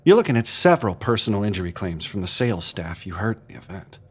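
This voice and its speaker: An actor playing a skeptical, sardonic interrogator in an audio drama, dry and deliberate, stopping and starting in disbelief. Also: male voice, fairly low pitch, sing-song, a little hiss or hum. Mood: stern, sardonic. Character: skeptical, sardonic male interrogator pressing a suspect.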